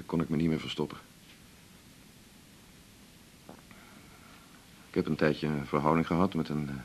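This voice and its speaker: A man's voice: very deep voice, a little hiss or hum. A man acting a restless, self-absorbed doctor in a radio drama, musing and emphatic with a slightly theatrical touch. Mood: confessional. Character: restless, self-absorbed male doctor, earnest about marathon running.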